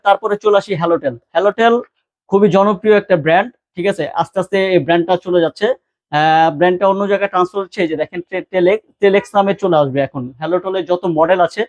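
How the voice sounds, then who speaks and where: Bangladeshi male shop presenter, high pitch, rapid informal sales delivery, dry close sound. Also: no echo, highs cut off. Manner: salesman-like, repeats key words and prices. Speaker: shop seller presenting products. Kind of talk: informal product showcase with prices.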